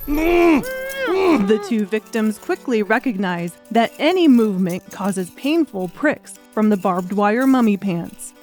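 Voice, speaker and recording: Higher-pitched woman narrating an audiobook. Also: big pitch swings, takes it slowly, darkish tone, faint music behind. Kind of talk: scripted narration.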